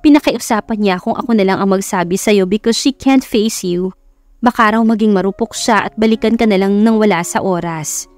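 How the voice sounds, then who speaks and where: A Filipino woman voicing a gentle older mother in an audiobook, careful and pleading with her grown son. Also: medium pitch, fairly quick, faint music behind, natural voice. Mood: sad, pleading.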